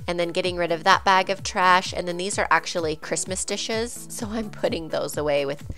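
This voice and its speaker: A woman's voice: fairly low pitch, moderate pace, pushed and bright, faint music behind. A woman in her thirties, a bit congested, chatting candidly through a home declutter vlog voice-over. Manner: chatty and candid, friendly asides to viewers. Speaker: home vlogger.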